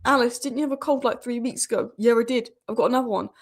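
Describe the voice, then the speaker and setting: A young woman hosts a live football stream while fighting a cold, so she sounds sniffly and rough in the throat. She talks in a chatty, opinionated way, with lots of fillers and restarts. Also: a little high, fast talker. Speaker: football channel host. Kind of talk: casual live-stream commentary.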